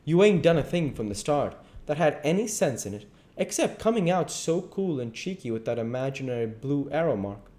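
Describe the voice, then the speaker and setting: A man's voice: fairly high pitch, very animated, normal speed, few pauses, warm tone, voice pushed up. A man voicing a domineering, scornful swindler who scolds in folksy rural speech, in a dramatised audiobook. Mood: scolding.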